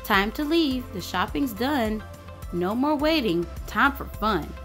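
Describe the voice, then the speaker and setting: Female storyteller for kids' picture books: unhurried, expressive, widely swooping pitch, music underneath. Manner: expressive, sing-song rhyming delivery. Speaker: children's story reader. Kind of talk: animated picture-book read-aloud. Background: background music.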